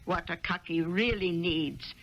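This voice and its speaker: A woman's voice: lowish voice, measured pace, natural voice. Woman as a prim concerned neighbour, gossipy, radio play, muffled noisy old recording. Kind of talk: 1970s radio drama acting.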